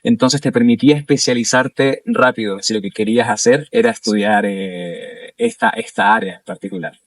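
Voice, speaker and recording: Young Chilean man as interview guest, halting with fillers, dry room, mic set a bit off. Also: lowish voice, big pitch swings, no room sound, far from the mic. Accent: Chilean Spanish. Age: young adult. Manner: hesitant, many fillers and restarts. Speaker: interview guest, doctoral student. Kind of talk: casual interview chat.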